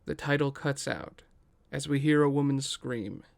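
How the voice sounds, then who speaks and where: A man's voice: mid-range voice, a little slow, gravelly. A man reading scripted stage directions as the narrator of a table read.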